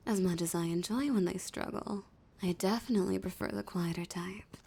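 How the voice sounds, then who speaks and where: Woman acting a husky, flirty villainess. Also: medium pitch, darkish tone. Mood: smug, commanding. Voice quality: breathy, husky. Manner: teasing, menacing, commanding, slipping into whispers. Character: flirty, dominant female villain taunting a hostage. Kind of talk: intimate audio roleplay acting.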